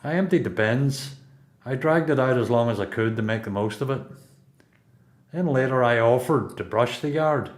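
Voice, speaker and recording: A man's voice: mid-range voice, normal speed, lightly acted. A man with a Belfast accent playing a seasoned prisoner in a radio play, a blunt mentor who talks in terse, instructive lines. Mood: encouraging. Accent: Belfast Northern Irish.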